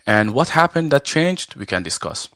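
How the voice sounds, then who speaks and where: A man from Iran whose first language is Farsi, talking casually and haltingly on a video call, full of fillers and restarts. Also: medium pitch, sing-song, a bit bright, no room sound, clean studio sound. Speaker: guest, arm wrestler and referee. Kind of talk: casual live-stream chat. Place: at home, arm wrestling table behind.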